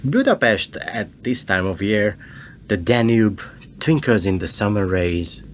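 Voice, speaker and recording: Guy acting a suave foreign diplomat in a radio drama, low measured voice, polite but probing, noisy background. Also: animated. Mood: cool, probing. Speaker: actor in a radio play.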